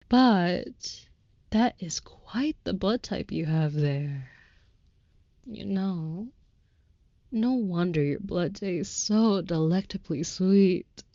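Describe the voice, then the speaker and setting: A devoted female vampire lover, acted by a woman speaks low and very unhurriedly in an intimate comfort roleplay. Her phrasing is courtly, old-fashioned and tender, with an earnest, protective air. The recording is close, dry and slightly dull. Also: warm tone, dry recording. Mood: surprised, admiring.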